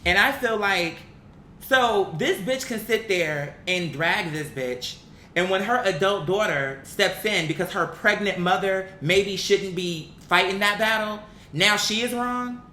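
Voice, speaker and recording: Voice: a little slow, pushed and bright. A vlogger giving casual, opinionated commentary in a blunt, slangy and emphatic way. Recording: roomy echo, faint rumbling background noise.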